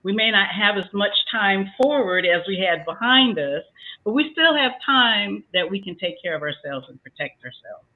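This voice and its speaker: A woman's voice: mid-range voice, animated, normal speed. An older woman on a talk show panel giving casual advice and acting out both sides of a conversation as she tells a story. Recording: tinny phone-like sound.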